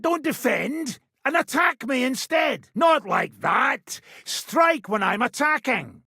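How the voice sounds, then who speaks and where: Casting: a seasoned fighting man for a medieval game, gruff and bossy tutor, raspy tone with big swings in pitch, recorded dry and close. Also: sharp tone, dry recording, full character voice. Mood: barking, impatient. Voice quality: rough, gravelly. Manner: blunt, commanding, instructive. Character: gruff, impatient seasoned male mercenary swordsman teaching combat. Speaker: video game character, sword-fighting trainer. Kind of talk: medieval video game voice acting.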